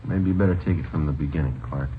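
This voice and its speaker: An American actor playing a level-headed police detective in 1950s radio drama style, terse and deadpan. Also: male voice, very deep voice, fast talker. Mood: probing, calm. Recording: old radio, narrow band, noisy.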